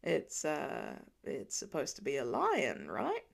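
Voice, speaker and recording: Woman voicing a gruff, teasing farmer dad, creaky. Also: sits low, wildly swooping pitch, slow, voice pushed down, heavily acted. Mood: hesitant, tactful. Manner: grousing, hammy mock indignation.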